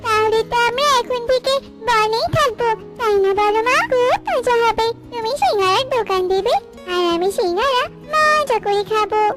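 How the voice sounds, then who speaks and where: A tiny, eager daughter bird in a children's cartoon. The voice is squeaky and extremely high, with a childlike, wheedling delivery. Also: female voice, moderate pace, a bit bright, faint music behind. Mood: excited, curious. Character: eager, pleading little daughter bird who loves snacks.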